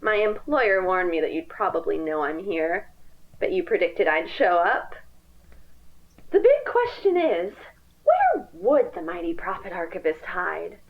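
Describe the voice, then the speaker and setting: A woman playing a taunting hired hunter in an audio horror drama, with a theatrical, drawn-out delivery. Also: on the low side, wildly swooping pitch, muffled recording, heavily acted. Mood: mocking menace. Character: taunting female hired hunter stalking hidden prey.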